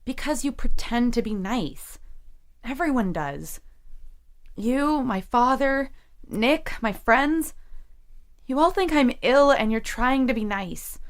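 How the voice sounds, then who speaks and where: A woman's voice: a little high, expressive, slow pace. An actress playing a defensive young woman in therapy, guarded and sarcastic, in an audio drama. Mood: angry, bitter.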